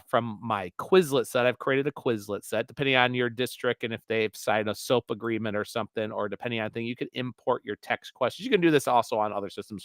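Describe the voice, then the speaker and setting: A male teacher with a naturally loud voice, walking through a screen-recorded software tutorial in a rambling way full of fillers and restarts. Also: medium pitch, no room sound.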